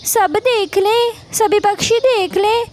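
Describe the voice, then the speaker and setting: A woman's voice: very high-pitched, steady tone, takes it slowly, darkish tone, slight background noise, pitched above the performer's own voice. A woman voicing a vain, scheming female crow in a cartoon, bossy and showy. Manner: showy, self-important, bossy. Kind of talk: Hindi cartoon character acting.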